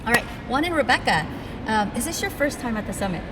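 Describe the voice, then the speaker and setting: A woman's voice: fairly high pitch, sing-song, fast talker, background noise. A woman hosting an education podcast, reading an upbeat introduction and chatting with guests in a theater venue. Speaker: podcast host. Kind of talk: scripted podcast intro then casual interview. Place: theater venue with crew setting up.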